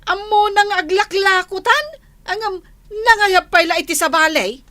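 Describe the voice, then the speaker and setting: A woman with an Ilocano Filipino accent playing an excitable, fretful fish-selling wife in a radio comedy drama. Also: very high voice, moderate pace, no echo. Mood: indignant.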